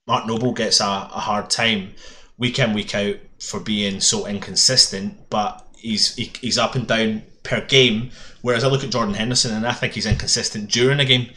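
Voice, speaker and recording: A man's voice: lowish voice, fairly even intonation, moderate pace, sharp tone, a little hiss or hum. A Scottish man hosting a casual football podcast, chatty and summarising a statement aloud. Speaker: podcast host.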